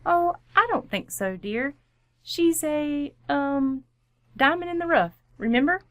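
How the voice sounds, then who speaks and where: A woman's voice: high-pitched, animated, slow, fairly bright tone, no room sound, heavily acted. A woman playing a composed, firm suburban wife in an old-time radio comedy, polite with dry sarcasm. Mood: coolly amused.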